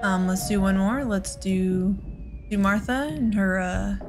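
A woman's voice: medium pitch, measured pace, loud music behind the voice. A woman giving casual let's play commentary on a video game, rambling and thinking aloud as she goes.

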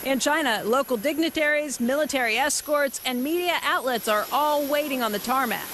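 A woman's voice: high voice, moderate pace, crowd noise. A woman reporter reading scripted news documentary narration in a polished, upbeat voice with a light wry edge. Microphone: studio voice-over. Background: music underneath.

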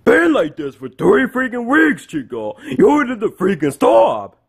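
A man's voice: high voice, wildly swooping pitch, a little slow, vocal fry, slightly rough, pitched above the performer's own voice, full character voice. A man playing an exasperated, nagging household disciplinarian in an amateur improvised comedy skit, repeating himself as he scolds. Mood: angry, exasperated.

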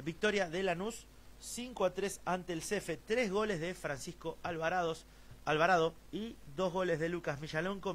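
A man's voice: fairly high pitch, slight background noise. Argentine man reading futsal results. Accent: Argentine Spanish. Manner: many fillers and restarts, reads long lists of scorers. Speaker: host of a local futsal news stream. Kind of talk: sports news roundup, reading results.

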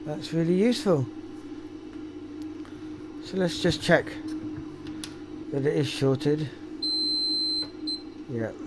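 A man's voice: fairly high pitch, slow, long pauses, very noisy. A man giving casual commentary as a repair technician, musing aloud while he works at the bench. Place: indoor electronics workshop.

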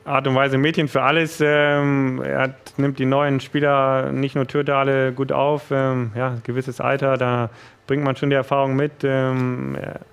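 A young man from northern Germany, a goalkeeper guest in a studio interview, answering haltingly with many fillers into a headset mic. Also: mid-range voice, flat delivery, creaky, clear, resonant tone.

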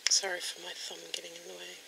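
Croaky-voiced woman chatting over nail polish. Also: on the low side, completely flat delivery, quick pace. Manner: chatty, rambling with many ums. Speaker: beauty vlogger. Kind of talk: casual product demo. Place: home desk at night. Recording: home recording with clear background noise.

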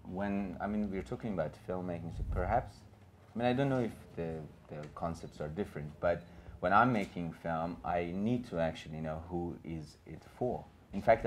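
A man's voice: low voice, slight background noise. A man in his thirties with a Persian accent, speaking on a gallery panel in an earnest, rambling way full of fillers and restarts. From Iran. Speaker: panelist and filmmaker. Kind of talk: panel discussion. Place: small gallery room with an audience.

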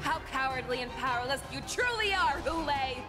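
A woman's voice: high voice, expressive, moderate pace, roomy sound, music in the background. A woman playing a fierce, commanding warrior general in dramatic game voice acting. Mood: menacing. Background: loud game score.

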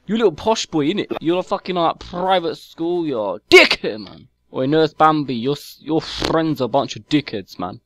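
A man's voice: on the high side, expressive, fairly bright tone. A man doing a lisping young chav. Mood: defensive. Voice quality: lisp. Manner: slangy, mumbling, quick to threaten. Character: young male chav, aggressive, touchy, lisping. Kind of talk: trolling in game voice chat.

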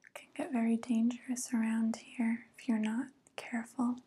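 A young woman playing a softly coaxing evil witch in an ASMR roleplay, whispering slowly and gently, close to the microphone. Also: on the high side. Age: young adult. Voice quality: breathy whisper. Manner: slow, gentle, flattering, falsely kind. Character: soft, coaxing evil witch luring a guest.

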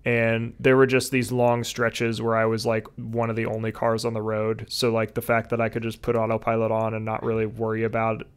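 A young man in his twenties chatting casually on a podcast video call, relaxed, with lots of fillers. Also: fairly low pitch, fairly quick, few pauses, clear, resonant tone. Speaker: podcast guest. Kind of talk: casual chat. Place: home room, indoors. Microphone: headset with video call.

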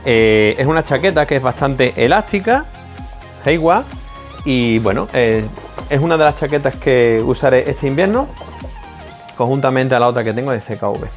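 A man's voice: mid-range voice, normal speed, soft background music. A man in his forties with a Spanish accent, hosting a spearfishing channel and chatting animatedly to camera from his home office on a lapel mic. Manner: animated, repeats phrases, asks "¿de acuerdo?". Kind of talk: casual vlog talk to camera. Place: small home office with desk and shelves.

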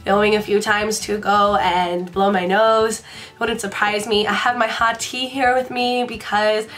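A woman's voice: mid-range voice, flat delivery, measured pace, fairly bright tone, soft background music. A young woman in her twenties from the Washington DC area, chatting casually to camera in her bedroom, congested from a sinus infection and rambling in a bubbly way. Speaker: beauty vlogger. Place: bedroom, indoors.

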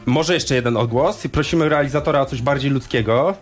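A man's voice: medium pitch, brisk, soft background music. A man hosting live radio with casual banter, speaking in a hesitant, rambling, jokey way. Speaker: radio host. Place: radio studio.

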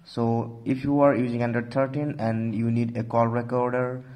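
A man's voice: mid-range voice, level tone, normal speed, a little mellow. Man narrating an informal tech tutorial.

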